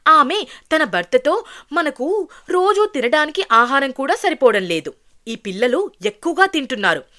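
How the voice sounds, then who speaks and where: A woman's voice: high voice, bright, forceful tone. A woman narrating a scripted fairy tale for children as a storyteller.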